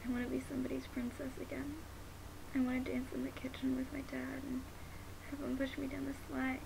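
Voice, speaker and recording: A young woman playing a grieving, guilt-ridden daughter in a dramatic monologue, halting and sometimes nearly whispering. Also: high-pitched, completely flat delivery, creaky. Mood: tearful longing. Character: grieving, guilt-ridden daughter who lost her father. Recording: noticeable background hiss.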